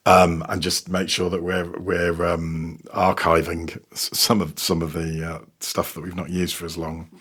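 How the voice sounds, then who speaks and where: A man chatting casually as a podcast guest, haltingly, repeating words as he goes. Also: low voice, flat delivery, fairly bright tone, husky. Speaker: podcast guest, sound designer. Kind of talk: casual interview chat.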